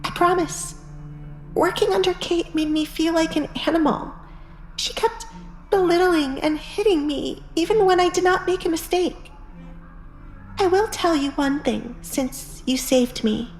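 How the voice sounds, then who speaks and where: A woman's voice: extremely high pitch, unhurried, darkish tone, pitched above the performer's own voice. A woman voicing a timid, earnest young maid in an audiobook drama. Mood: earnest, hurt. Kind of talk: audiobook drama character acting. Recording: echoey, music behind.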